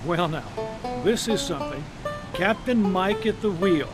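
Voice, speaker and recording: A male feature-show host with a fairly high voice narrates in a folksy, reflective way. His phrasing is deliberate, like a storyteller. Music plays behind him. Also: animated.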